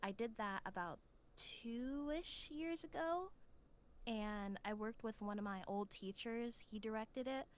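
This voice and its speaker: A woman giving a casual interview as a voice actor, telling stories in an animated, giggly way. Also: on the high side, slow, clear, resonant tone. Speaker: voice actor, podcast guest.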